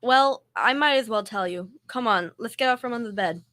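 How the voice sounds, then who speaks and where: A woman's voice: fairly high pitch, brisk, bright, forceful tone. A young woman playing a sensible, exasperated roommate with nerves underneath, in old-time radio comedy style. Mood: nervous, earnest.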